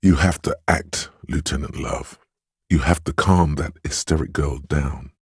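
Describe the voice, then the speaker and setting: A man voicing a tender, perceptive inner voice of empathy in a video game, speaking in a measured, intimate, dramatic way with a husky, gravelly tone. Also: rumbling low, no echo, clean studio sound, full character voice. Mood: urging, grand. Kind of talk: scripted game narration, second-person commentary.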